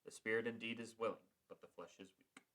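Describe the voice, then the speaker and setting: A man reading the part of Jesus in a church passion play, slow and grave. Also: on the low side, fairly even intonation, a bit bright, natural voice. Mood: solemn. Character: Jesus, calm solemn male teacher.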